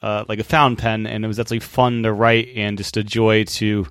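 A man's voice: fairly low pitch, little pitch movement, normal speed, fairly bright tone, smooth, no echo, studio quality. An American man talking as a podcast host, in a conversational, slightly wordy way. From United States. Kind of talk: podcast intro and interview chat.

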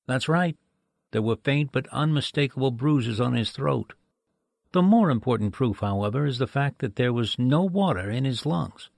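A man plays a formal medical examiner in an old-time radio mystery. His mid-pitched voice has plenty of gravelly creak. He explains in measured, precise phrases, with a close, dry sound. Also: expressive, darkish tone, no room sound. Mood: precise, authoritative. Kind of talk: 1940s-style radio drama acting.

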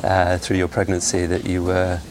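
A man hosting, who asks curious questions slowly, repeating words as he goes. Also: sits low, completely flat delivery, crowd noise. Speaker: host. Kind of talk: interview questions. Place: bookshop, indoors. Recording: noisy public bookshop.

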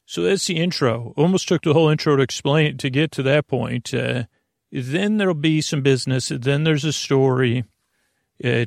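Rambling gravelly-voiced man hosting a sleep show. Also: medium pitch, dry recording, studio quality. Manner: meandering, self-interrupting, gently joking. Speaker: sleep podcast host. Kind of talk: rambling casual monologue.

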